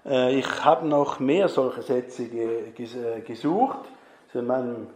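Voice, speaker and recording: A man preaches at an unhurried, deliberate pace, talking with his congregation and quizzing them as he goes. His voice rings out in an echoey church hall. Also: medium pitch, darkish tone. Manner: chatty, asks the congregation questions. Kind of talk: conversational sermon.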